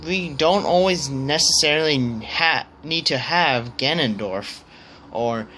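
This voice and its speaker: A man thinking aloud in a casual opinion vlog, hesitant and halting, often stammering on the starts of words. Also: on the high side, sharp tone, a little hiss or hum, low-quality recording. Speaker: vlogger.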